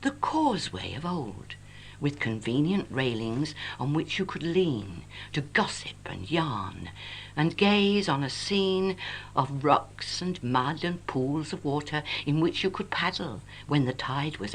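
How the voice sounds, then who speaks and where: An English woman reciting her own poem in a wistful, lyrical and measured way, on an old home cassette recording. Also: low-pitched, very animated. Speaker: poet reading own work. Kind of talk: expressive poetry recitation. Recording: noisy 1980s home cassette, humming. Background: tape noise and hum.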